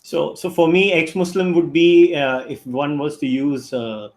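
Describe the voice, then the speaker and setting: Higher-voiced podcast host, echoey room. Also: male voice, fairly even intonation, a little slow. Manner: loose, conversational, asks long winding questions. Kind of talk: casual interview chat.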